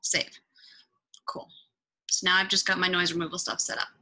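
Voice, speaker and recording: A woman giving a casual, improvised software tutorial, thinking out loud and swearing offhandedly as she goes. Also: on the low side, little pitch movement, normal speed, poor audio. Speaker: tutorial presenter.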